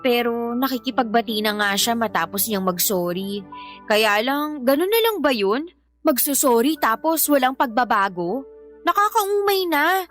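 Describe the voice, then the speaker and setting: A woman's voice: a little high, a bit bright. A woman playing an exasperated young mother who complains emphatically about her partner in a Filipino radio-style drama. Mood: exasperated, complaining.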